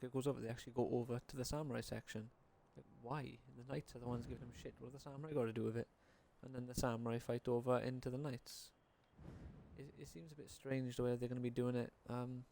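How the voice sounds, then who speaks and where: Mellow, casual young man doing game commentary. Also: medium pitch, creaky, a little hiss or hum. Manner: informal, tentative, rambling. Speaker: gaming video creator.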